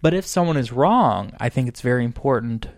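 A man's voice: medium pitch, lively intonation, brisk, vocal fry, a little mellow, no echo, clean studio sound. A man in his late twenties co-hosting a casual podcast over drinks, rambling off on tangents and swearing freely. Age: 20s. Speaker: podcast co-host.

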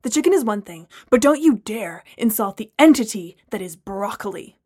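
A woman's voice: medium pitch, lively intonation, moderate pace, darkish tone, natural voice. A pompous young man obsessed with health, as a woman narrator plays him in an audiobook. He is boastful and pushy. He delivers everything as a superior, insistent lecture. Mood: insistent, lecturing.

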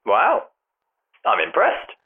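A man's voice: medium pitch, wildly swooping pitch, takes it slowly. An actor playing a caring, bookish man in a naturalistic audio drama, earnest and wry, heard through a telephone filter. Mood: wry, concerned. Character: caring, bookish man missing his departed friend. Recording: tinny phone line, music behind.

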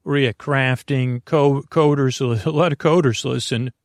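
A man's voice: mid-range voice, steady tone, a little slow, no echo, clean studio sound. A man hosting a sleep podcast, rambling in a casual, easygoing way and often stumbling over his words. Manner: easygoing, companionable.

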